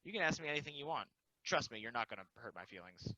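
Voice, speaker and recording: A philosophical, self-deprecating immortal ballplayer acted by a man in a casual play reading. The voice is mid-pitched and bright, with a rambling, confiding delivery. The recording is dry and slightly dull. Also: expressive, fast talker. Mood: wry, resigned. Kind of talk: casual table read of a stage play.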